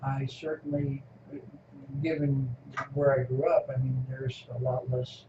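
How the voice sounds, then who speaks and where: An older man from California, an interviewee reminiscing casually in a halting, rambling way and chuckling often. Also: mid-range voice, level tone. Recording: echoey room, muffled lo-fi, noisy.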